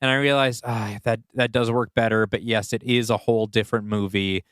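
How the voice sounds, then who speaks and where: A man's voice: medium pitch, fairly even intonation, a little fast, a bit bright, smooth, no echo. Man in casual discussion, dry close sound. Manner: explanatory, brainstorming aloud. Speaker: podcast co-host and writer. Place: indoors, two people seated across from each other.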